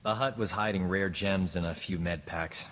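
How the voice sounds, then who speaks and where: A boastful smooth-talking rogue doctor. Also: male voice, sits low, fairly even intonation, normal speed, a bit bright, long reverb tail, slight background noise. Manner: boastful, glib, self-satisfied. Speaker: companion character. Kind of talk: video game voice acting.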